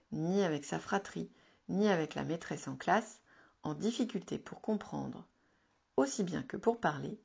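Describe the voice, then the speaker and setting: A woman narrating a written advice article in a calm, measured, instructive reading. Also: fairly low pitch, creaky, slightly rough. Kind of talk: scripted informative narration.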